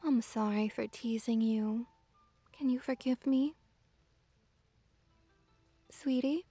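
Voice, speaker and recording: A woman voicing a gently dominant, teasing kitsune witch in an intimate ASMR roleplay, speaking softly and close, often near a whisper. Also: on the high side, dry recording, faint music behind, full character voice. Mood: tender teasing. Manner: soft, breathy, slow cooing delivery, often near whisper. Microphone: close ASMR microphone.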